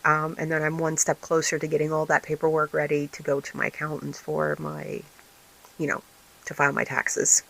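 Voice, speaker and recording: A woman hosting a casual vlog, her voice raspy and tired, rambling with many fillers and restarts. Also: sits low, completely flat delivery, normal speed, fairly bright tone, low-quality recording. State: tired, recovering from illness. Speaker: vlog host and small craft business owner. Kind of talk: casual chatty vlog update.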